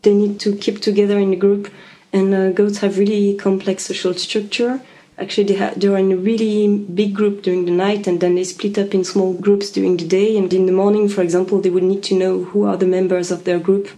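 Female scientist guest; mellow, even-toned, hesitant phrasing. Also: mid-range voice, a little fast, rough recording. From Switzerland. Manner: thoughtful, hesitant, many ums and uhs. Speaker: biologist guest. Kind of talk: interview answers.

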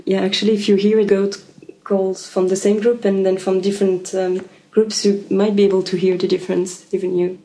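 Soft-spoken woman scientist. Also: mid-range voice, flat delivery, brisk, low-quality recording. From Switzerland. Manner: thoughtful, hesitant, many ums and uhs. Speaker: biologist guest. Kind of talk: interview answers.

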